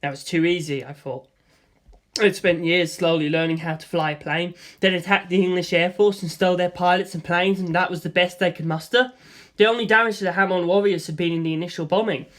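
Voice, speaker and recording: A woman narrating a first-person story in a steady, matter-of-fact way. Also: fairly low pitch, brisk.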